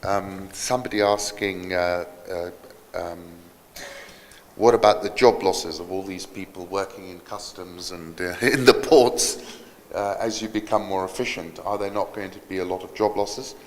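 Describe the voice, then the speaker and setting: A man moderating a talk, reading out questions with halting fillers. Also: low-pitched, steady tone, slight background noise. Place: conference hall. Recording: hall reverb with faint ambience.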